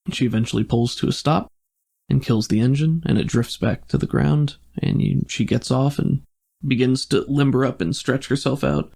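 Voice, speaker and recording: Male game master, creaky voice at middle pitch, improvised descriptive scene-setting for a tabletop RPG. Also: fairly even intonation, moderate pace, a little mellow.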